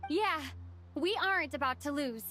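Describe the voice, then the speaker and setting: Earnest young adventurer, lightly high voice. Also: female voice, expressive, normal speed, pushed and bright, slight background noise. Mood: warm, resolved. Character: sincere young female traveler, earnest and quietly determined. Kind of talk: video game story acting. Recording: music behind the dialogue.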